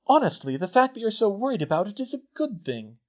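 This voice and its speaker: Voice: medium pitch, very animated, normal speed, highs cut off, pitched above the performer's own voice, natural voice. A reader voicing an earnest, insistent young girl student who speaks emphatically. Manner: emphatic, didactic, stammers when upset.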